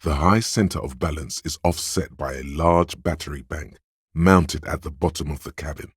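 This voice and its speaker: Super deep husky inner voice for a video game, slow deliberate phrasing, real dry sound. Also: male voice, no room sound, full character voice. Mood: measured, absorbed. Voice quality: gravelly, husky. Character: deep, measured male inner-voice narrator, quietly intent. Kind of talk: scripted second-person game narration.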